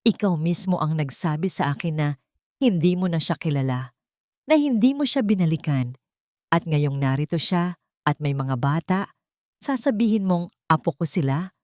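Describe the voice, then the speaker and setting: A woman's voice: low voice, lively intonation, moderate pace, no room sound, studio quality. A woman voicing a domineering rich older mother, scornful and accusing, in a dramatic radio-drama story. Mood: accusing, indignant. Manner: sharp, disdainful, accusing.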